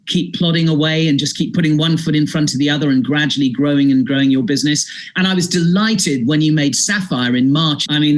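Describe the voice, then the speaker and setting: A man's voice: a little high, low-quality recording. A man hosting an online event and interviewing a guest over a video call, chatty and effusive. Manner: chatty, effusive, many fillers. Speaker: host and interviewer.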